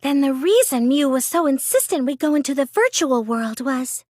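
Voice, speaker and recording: A woman's voice: high-pitched, animated, normal speed, a little mellow, no room sound, studio quality. A woman playing a timid, earnest, nerdy teenage girl in an English video game dub, hesitant and prone to stammering when flustered. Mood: thoughtful, questioning.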